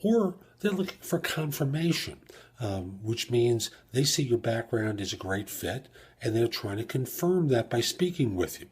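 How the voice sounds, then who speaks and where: A man's voice: mid-range voice, sing-song, unhurried, darkish tone, husky. A man hosting an advice podcast solo, explaining things step by step and posing rhetorical questions. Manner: explanatory, asks rhetorical questions and answers them. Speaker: podcast host and job search coach. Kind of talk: career advice podcast monologue.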